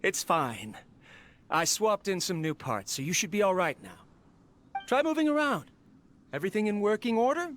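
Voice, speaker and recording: An actor playing a lonely male researcher of mechanical life, talking wistfully and with quiet self-mockery to his robot. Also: sits high, sing-song, moderate pace, long pauses, slight background noise. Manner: wistful, quietly self-mocking, gently scolding. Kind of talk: game voice acting, recorded log.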